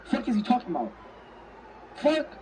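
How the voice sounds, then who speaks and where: A man playing a roleplay game on stream, excitable, slangy and profane, acting in character. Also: very high voice, moderate pace, distant mic, noisy background.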